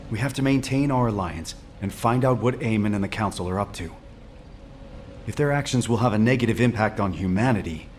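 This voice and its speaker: Man acting as a grave, low-voiced military commander. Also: a little mellow, slight background noise, lightly acted. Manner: deliberate, serious, authoritative. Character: grave, measured male military commander weighing alliances. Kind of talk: video game cutscene acting.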